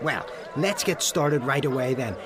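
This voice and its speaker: An actor playing an excitable, cheeky young man at a feast in a radio drama. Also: medium pitch, measured pace, background noise, close to an everyday voice. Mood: eager. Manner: excitable, giggly, hesitant.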